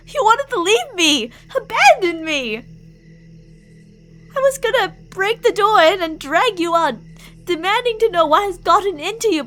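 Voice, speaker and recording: Very high-pitched obsessive young yandere played by a woman. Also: a bit bright, exaggerated voice. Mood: hurt, angry. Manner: pleading, clingy, emotionally volatile. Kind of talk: ASMR roleplay, dramatic monologue to listener.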